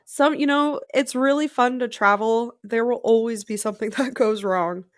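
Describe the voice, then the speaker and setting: A woman talking as a guest in a casual podcast chat between friends, effusive, slangy and quick to swear. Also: a little high, no room sound, studio quality.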